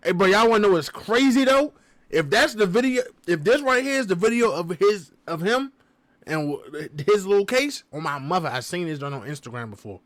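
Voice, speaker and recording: A man reacting to a video as the vlog's host, talking casually and excitedly to his viewers in heavy slang. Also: sits high, a little fast, no room sound. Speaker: reaction vlogger.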